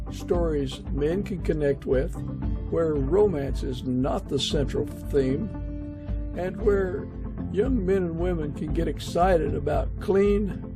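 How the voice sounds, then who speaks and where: A man with a fairly high-pitched voice speaks as a podcast host, moving between introduction and casual interview. Music plays clearly behind him.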